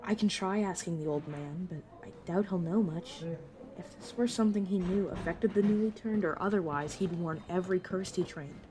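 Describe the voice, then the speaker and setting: A woman playing a brisk, protective vampire mentor in an audio roleplay, talking casually and teasingly to a new fledgling. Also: on the low side, warm tone, slight background noise, natural voice. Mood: serious, confiding. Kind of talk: narrative audio roleplay, addressed to the listener.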